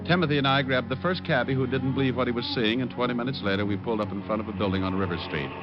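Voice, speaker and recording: A man's voice: medium pitch, racing, natural voice. Glib radio private eye played by a man, mid-range voice, cool, wry narration and banter. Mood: wry narrating. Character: wisecracking male private detective, cool and cocky. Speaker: lead and narrator. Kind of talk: old-time radio detective narration and dialogue. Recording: muffled old radio recording with music.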